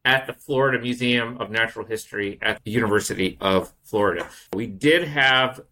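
A man's voice: mid-range voice, a little fast, pushed and bright, rough recording. A man co-hosting a science podcast, introducing the guest and explaining things with enthusiasm. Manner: enthusiastic, explanatory, building up to a reveal. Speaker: podcast co-host.

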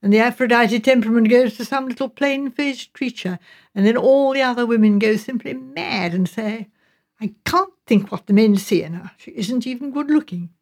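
A spirited young English sister from an audiobook novel, as a woman reader plays her. She is outspoken, chatty and mischievous, speaking with emphasis. The voice is fairly high and noticeably creaky. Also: moderate pace, a little mellow. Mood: musing, playful.

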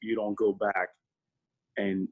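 A man in his thirties, a guest in a casual interview, speaking in a relaxed, reflective way with many fillers. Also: lowish voice, takes it slowly, highs cut off.

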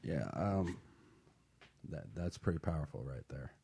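A man's voice: extremely low pitch, animated, measured pace, creaky, warm tone, breathy edge. A middle-aged man telling a personal story on a talk show, laid-back, with many fillers and restarts.

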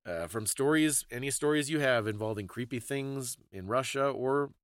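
A man's voice: mid-range voice, moderate pace, no echo. A man co-hosting a podcast, talking in a reflective, explanatory, conversational way. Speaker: podcast co-host.

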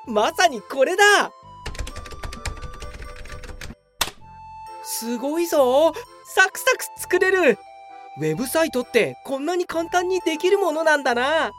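Woman as a cocky young guy, lively swoops. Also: high voice. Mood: excited scheming. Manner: self-satisfied, theatrical, obliviously confident. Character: cocky, clueless young male office worker. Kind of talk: comedic radio drama acting.

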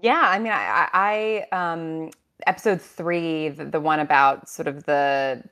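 A woman with a notably low voice talks as a series writer on a panel. She is casual and thoughtful, often halting and doubling back on words as she works out what she means. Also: fairly bright tone, clear, resonant tone.

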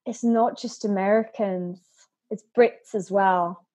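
A woman's voice: medium pitch, fairly even intonation, slow, mellow. A woman guest in a podcast interview, talking earnestly and often repeating words as she starts a thought. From Sweden and England. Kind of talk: conversational interview.

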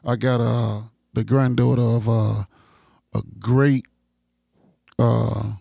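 A man with an American accent and a gravelly, husky voice, hosting a casual podcast with blunt, profane street slang. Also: mid-range voice, little pitch movement, slow, mellow, no room sound, clean studio sound. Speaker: podcast host.

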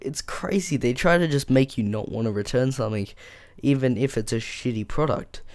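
A man hosting a casual solo tech podcast, his voice croaky from a flu, rambling with many ums and frustrated rants, on a boom-arm microphone in a foam-treated room. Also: normal speed, darkish tone, no echo. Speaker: podcast host. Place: small room with soundproof foam. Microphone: boom-arm studio microphone.

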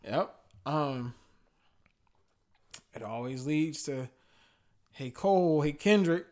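Slangy animated guy, kinda high voice, laughs a lot. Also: takes it slowly. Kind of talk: casual podcast chat about music.

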